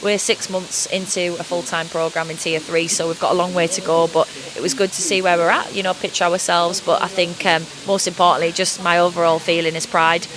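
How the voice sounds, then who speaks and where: A woman football coach giving a measured, upbeat post-match interview pitchside at an outdoor stadium, with frequent "you know" fillers. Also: level tone, crowd noise, low-quality recording.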